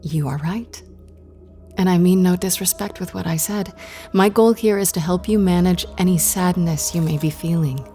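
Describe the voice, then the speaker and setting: Woman acting expressive therapist, faint music behind. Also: fairly low pitch, normal speed, close to an everyday voice. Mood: calm, reassuring. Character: earnest, strong-willed female therapist. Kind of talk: audio drama acting.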